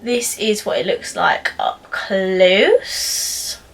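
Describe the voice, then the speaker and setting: A woman's voice: mid-range voice, sing-song, very slow, far from the mic. A young woman with a slightly nasal voice, getting over a cold, chatting through a makeup review in her bedroom with lots of "um"s and restarts. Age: young adult. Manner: chatty, rambling, many "um"s and restarts. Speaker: beauty vlogger. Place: small bedroom indoors.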